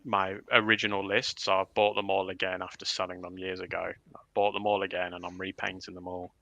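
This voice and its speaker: Man, low creaky voice, casual hobby chat, dry close sound, self-deprecating. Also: flat delivery, brisk, sharp tone, no echo, muffled recording. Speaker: livestream panel member. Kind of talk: casual hobby chat about miniature wargaming.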